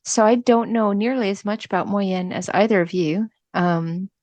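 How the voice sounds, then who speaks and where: A woman's voice: mid-range voice, steady tone, moderate pace, darkish tone, dry recording. A woman chatting casually as a podcast guest, light and self-effacing in the way she talks. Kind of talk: casual chat.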